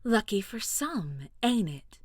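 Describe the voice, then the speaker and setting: A woman's voice: medium pitch, sing-song, slight background noise. A woman playing a tough, bitter feline soldier in a fantasy audio drama, blunt and sardonic. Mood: mocking. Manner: sardonic, blunt, slow drawl. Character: tough, bitter female feline war beast. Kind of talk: scripted audio drama acting.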